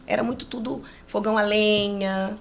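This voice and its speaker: A woman's voice: medium pitch, fairly even intonation, normal speed, creaky. A woman in her late forties from rural Brazil, with a Brazilian accent, telling personal stories casually as an interview guest, often adding agreement-seeking tags. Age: late 40s.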